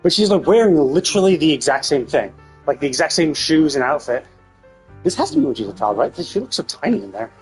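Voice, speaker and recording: Rambling, higher-pitched man streaming a game. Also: sing-song, quick pace, creaky, low-quality recording. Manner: rambling, thinking aloud, casually profane. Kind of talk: casual live stream commentary on a story game. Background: faint game music.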